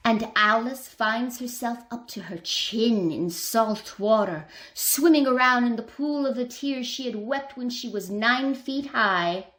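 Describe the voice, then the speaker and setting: A woman's voice: fairly high pitch, a little slow, hardly any pauses, fairly bright tone. A woman giving scripted storybook narration as a narrator in a radio play.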